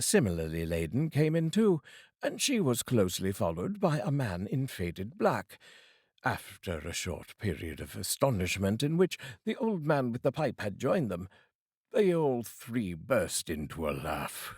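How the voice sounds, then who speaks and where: A man reading a classic story aloud as narrator, dramatic and expressive. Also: fairly low pitch, breathy edge, dry recording, clean studio sound.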